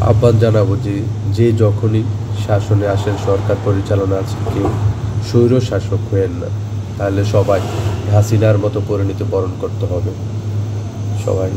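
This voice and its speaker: A man with a Bangladeshi accent giving an earnest political statement in deliberate, halting phrases. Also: lowish voice, little pitch movement, noisy background.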